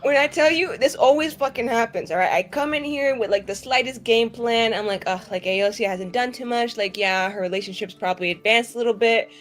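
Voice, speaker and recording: A higher-voiced woman riffs with her gaming group between sessions. She talks in animated, crude run-ons and jokes freely, heard through a call microphone with faint music underneath. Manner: animated, crude joking, quick run-on storytelling. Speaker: tabletop player. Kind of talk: casual out-of-game chat.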